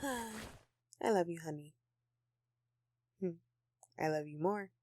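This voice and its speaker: A woman's voice: on the low side, slow pace, darkish tone. A woman playing a grumpy but affectionate Christmas elf in an intimate audio roleplay, with a sarcastic, dramatic, sighing delivery. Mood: softened, loving.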